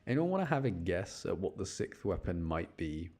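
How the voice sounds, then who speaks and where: A man's voice: low-pitched, very animated, moderate pace, a little mellow. A man chatting casually on a game livestream, rambling along and addressing his viewers. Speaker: streamer. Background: game music.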